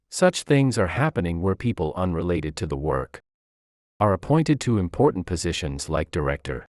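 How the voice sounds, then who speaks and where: A man's voice: low-pitched, darkish tone, dry recording, clean studio sound. A man reading a scripted first-person story as its narrator.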